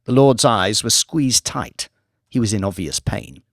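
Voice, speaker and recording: A man reading an audiobook in a measured, expressive narration. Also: lowish voice, no room sound, studio quality. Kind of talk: scripted narration.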